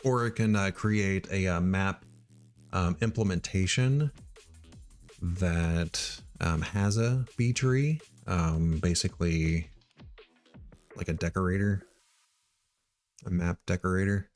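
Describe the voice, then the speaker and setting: A middle-aged man from Missouri thinking aloud while he writes code, halting with many ums and uhs. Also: low voice, soft background music. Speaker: live-coding streamer.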